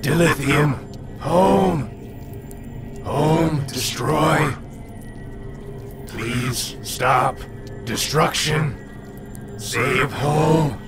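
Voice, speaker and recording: A man doing a slow broken-speech alien creature. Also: fairly high pitch, pitched above the performer's own voice. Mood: pleading. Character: desperate glowing cell-like alien creature. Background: background music.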